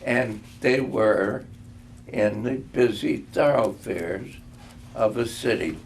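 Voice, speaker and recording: An older man reading a storybook aloud, phrasing it deliberately with pauses. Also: medium pitch, gravelly. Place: live reading in a room. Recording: faint background noise.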